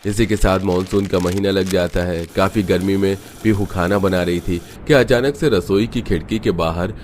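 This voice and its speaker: A man's voice: deep voice, fairly even intonation, normal speed, a little mellow, slight background noise. A man giving scripted narration for a cartoon family story.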